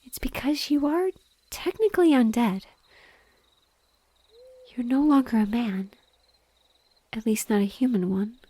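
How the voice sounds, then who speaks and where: Whispery, slow, sorrowful banshee played by a woman. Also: on the high side, mellow, dry recording. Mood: tender, loving. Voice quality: breathy, whispery. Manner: slow, tender, mournful. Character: sorrowful female banshee, gentle and lovingly devoted. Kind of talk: whispered intimate audio roleplay.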